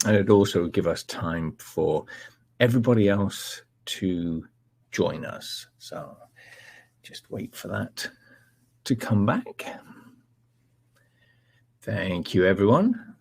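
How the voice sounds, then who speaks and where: A middle-aged Scottish man presenting a live technical webinar in a relaxed, chatty way, with frequent ums and uhs. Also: lowish voice, takes it slowly, gravelly, pauses often, slightly rough, dry recording. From Scotland.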